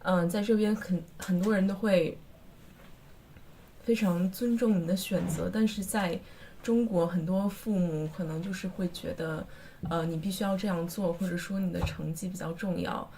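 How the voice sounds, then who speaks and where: A young woman from China, an international student, speaking slowly and with consideration about her life abroad. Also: on the low side, fairly even intonation, mellow, slight background noise. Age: young adult. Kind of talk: interview testimony.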